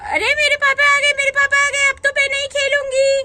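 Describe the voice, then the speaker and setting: A woman voicing a playful little village girl who calls out in an excited, sing-song way. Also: very high-pitched, moderate pace, voice pushed up.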